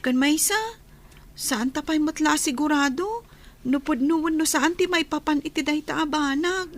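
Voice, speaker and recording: Very high-voiced young village sister. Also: female voice, moderate pace. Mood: worried.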